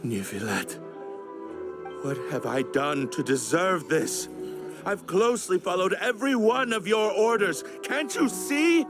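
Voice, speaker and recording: Bitter condemned man in a game, really high for a man, slow dramatic delivery. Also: big pitch swings, heavily acted. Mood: bitter pleading. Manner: theatrical, pleading, bitter. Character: desperate, aggrieved condemned man pleading his innocence. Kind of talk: video game voice acting. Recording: music behind the voice.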